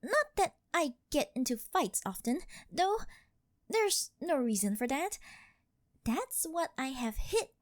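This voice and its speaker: Woman playing a high-voiced, doting, possessive yandere girlfriend. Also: sing-song, slow pace, dry recording, clean studio sound, heavily acted. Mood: protective, possessive. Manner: coaxing, sweet-talking, apologetic with possessive undertone. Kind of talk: ASMR girlfriend roleplay.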